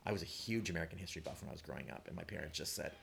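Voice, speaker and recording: Low-pitched Canadian man chatting casually. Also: rapid-fire, vocal fry, a bit bright, slight background noise. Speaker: playwright guest. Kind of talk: casual personal storytelling.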